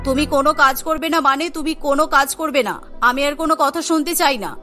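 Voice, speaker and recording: A middle-aged cartoon mother-in-law, acted by a woman, stern and protective. The voice is very high and bright, with an emphatic scolding manner. Faint music plays underneath. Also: a little fast. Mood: firm, bossy. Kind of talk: Bengali cartoon story acting.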